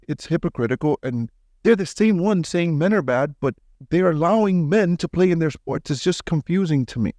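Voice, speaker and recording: A man's voice: mid-range voice, a little mellow, no echo. Man, podcast host, mid-range voice, blunt, opinionated, casual interview chat, dry recording. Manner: blunt, informal, mild swearing.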